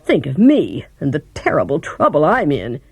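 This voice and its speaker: A woman voicing a timid little old man who talks in a meek, hushed whisper. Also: low-pitched, wildly swooping pitch, moderate pace, breathy edge, no room sound, voice pushed down, heavily acted.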